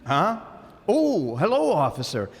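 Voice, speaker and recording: A man's voice: high-pitched, huge pitch swings, measured pace, heavily acted. A man playing John, a grumbling, put-upon and exhausted husband, in an old-time radio comedy staged live in a reverberant hall. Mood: flustered. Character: John, a grumpy, exhausted middle-aged husband. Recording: live stage recording with long reverb and faint noise.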